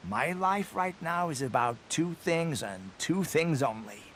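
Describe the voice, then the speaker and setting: An actor playing a drowsy, easygoing soldier who talks in a languid, offhand way. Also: male voice, a little high, animated, measured pace, a bit bright, slight background noise. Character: lazy drowsy soldier who keeps to himself.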